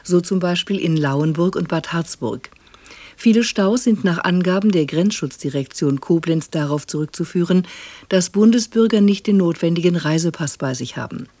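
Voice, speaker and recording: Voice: mid-range voice, warm tone, no room sound. A news reader delivering scripted radio bulletins in a formal, neutral manner.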